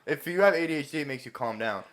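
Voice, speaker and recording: A man in a casual podcast chat, talking in an excitable, rambling way with some swearing. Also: on the high side, quick pace, a loud crowd. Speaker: podcast co-host.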